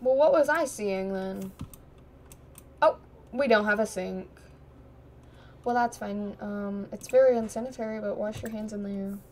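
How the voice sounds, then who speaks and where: A woman's voice: mid-range voice, a little slow, pauses often, a little mellow, a little hiss or hum. A young woman who is losing her voice, giving casual, giggly commentary while playing a life-sim game and chatting to the characters. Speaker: gaming streamer. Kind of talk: casual Let's Play commentary.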